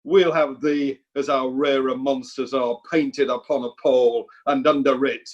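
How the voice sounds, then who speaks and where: A man's voice: mid-range voice, steady tone, unhurried, darkish tone, lo-fi sound, natural voice. An amateur actor playing an avenging, righteous warrior nobleman in a Shakespeare radio play, emphatic and declamatory. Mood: scornful. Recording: home recording over video call.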